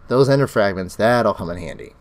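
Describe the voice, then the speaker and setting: A young man hosts his own game commentary, talking casually through every step of his play. His voice is a little low and crackly from a cold, with extra bass in it. His pitch swings a lot as he chats. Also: fairly quick, creaky. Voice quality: extra bass from an allergic reaction. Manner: chatty, animated narration of his own play. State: sick, crackly voice. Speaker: gaming channel host. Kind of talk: Minecraft let's play commentary.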